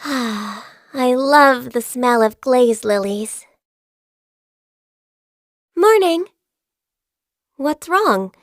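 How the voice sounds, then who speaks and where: An actress voicing a gentle, shy and diligent young female secretary in a video game, soft-spoken, earnest and a little hesitant. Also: sits high, expressive, very slow, pauses often, no echo, studio quality, heavily acted. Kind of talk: video game character voice lines. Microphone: close studio mic.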